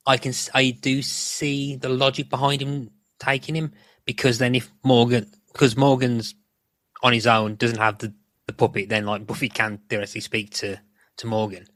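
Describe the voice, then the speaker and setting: A man's voice: mid-range voice, level tone, moderate pace, a bit bright, dry recording. A middle-aged man, chatting casually as a podcast co-host in a rambling, self-correcting way. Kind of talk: casual chat about a TV show.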